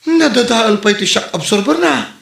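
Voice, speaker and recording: A man's voice: very high-pitched, expressive, normal speed, gravelly, fairly bright tone, full character voice. A man playing an exasperated, sly husband in a comedy drama, with an Ilocano accent. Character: adult husband, exasperated, sly, whiny, comic. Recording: echoey room.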